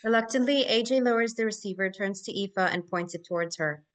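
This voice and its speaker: A woman's voice: medium pitch, fairly quick. A woman narrating stage directions in a scripted live table read.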